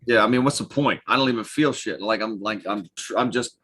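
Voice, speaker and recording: Casting: man, thirties, lowish voice, blunt and profane, loose late-night panel banter. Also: a little fast, fairly bright tone, a little hiss or hum. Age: 30s. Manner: profane, blunt slangy talk. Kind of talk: casual chat, bantering.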